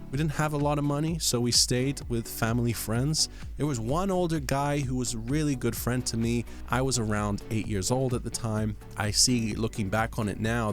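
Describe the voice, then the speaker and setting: A man from London with a mixed English, Irish and American accent, presenting scripted vlog commentary with rhetorical questions and deliberate pauses. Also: mid-range voice. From London, England. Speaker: host of a crypto video channel.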